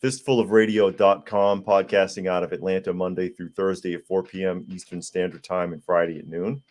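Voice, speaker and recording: A man with a sore, hoarse throat who hosts a live podcast, reading viewer comments aloud in a plain-spoken, casual way. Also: low-pitched, little pitch movement, a little fast, darkish tone. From United States. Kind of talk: casual live-stream chat.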